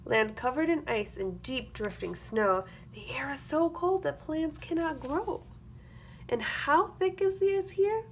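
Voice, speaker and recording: A woman reading a children's picture book aloud as a teacher, with expressive, rhythmic delivery. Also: sits high. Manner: rhythmic, expressive delivery of rhyming verse with explanatory asides. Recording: clear background noise.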